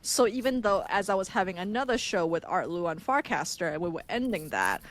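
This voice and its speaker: Lively, laughing woman co-host. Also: medium pitch, fairly quick. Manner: animated, laughs a lot, reflective. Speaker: podcast co-host. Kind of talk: casual chat.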